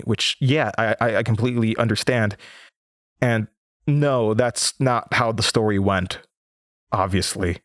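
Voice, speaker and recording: A man's voice: fairly low pitch, dry recording, studio quality, close to an everyday voice. A man playing an anxious, haunted young host of a horror radio show in an audio drama. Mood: uneasy, confiding.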